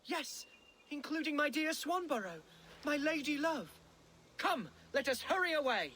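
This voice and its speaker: A young Saxon nobleman with a high, very lively English voice. He talks slowly and floridly, an earnest, excitable, lovesick fool from a video game, with noisy ambience around him. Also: full character voice. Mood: earnest, lovestruck. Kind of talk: video game character acting.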